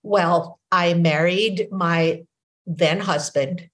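Low-voiced Filipino woman in her sixties. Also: steady tone, extremely slow, fairly bright tone. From Philippines. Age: 60s. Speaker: podcast host. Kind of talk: casual conversation.

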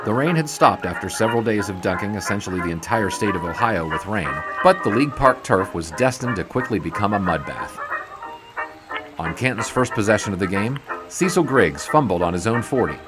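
A man playing a 1920s sports writer, giving measured, literary narration of old games in an audio drama. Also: lowish voice, lively intonation, lightly acted, low-quality recording. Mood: narrating, wry. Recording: loud music behind voice.

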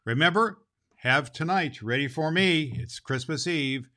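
A man's voice: a little high, a little slow, bright, forceful tone. A man playing a jolly, grandfatherly old Santa Claus, speaking theatrically and emphatically to children as a storyteller. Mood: cheerful, friendly. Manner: theatrical, emphatic, addresses listeners as boys and girls. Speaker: storyteller and podcast host. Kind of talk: dramatic storybook reading and direct address to children.

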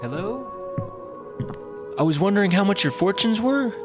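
A man's voice: high voice, expressive, moderate pace, loud music behind the voice. A man playing a clueless, cheeky fellow in a comedy audio drama, with a glib, oblivious delivery. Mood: musing, casual. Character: clueless, cheeky man, flippant lovelorn rehab patient.

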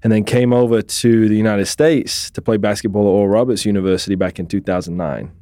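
A British man in his thirties, raised in the UK, telling his life story as an interview guest in a relaxed, reflective way. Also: fairly low pitch, fast talker, no room sound. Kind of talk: conversational interview.

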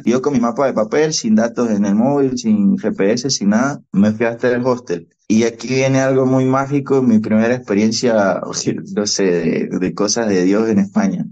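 Mellow Venezuelan man telling stories. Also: mid-range voice. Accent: Venezuelan Spanish. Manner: animated storyteller, reenacts dialogue. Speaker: podcast guest. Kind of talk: storytelling interview. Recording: dull, lo-fi podcast audio.

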